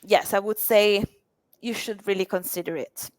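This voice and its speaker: A woman's voice: mid-range voice, steady tone, moderate pace, dry recording. A woman whose first language is Italian speaks as a university representative in an interview, hesitating often with fillers. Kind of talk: interview answers.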